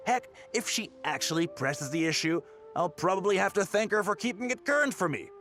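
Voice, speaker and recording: A man's voice: high voice, animated, fairly quick, fairly bright tone, soft background music. An actor playing a pushy, scheming male newspaper boss in a video game, talking down to people in a scornful, bullying way. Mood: gloating, scheming. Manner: condescending, hectoring, slangy.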